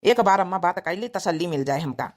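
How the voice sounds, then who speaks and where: A woman's voice: lowish voice, lively intonation, brisk, natural voice. A woman voicing a talkative, doting village brother in a cartoon comedy, colloquial and insistent, with an Awadhi accent. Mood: fretful. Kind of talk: Hindi-Awadhi cartoon family comedy dialogue.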